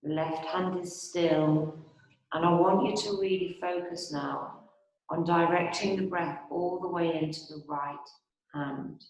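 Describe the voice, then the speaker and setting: A woman instructor guiding restorative yoga and meditation in a calm, soothing, unhurried manner with long pauses. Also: on the low side. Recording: very echoey, reverberant room.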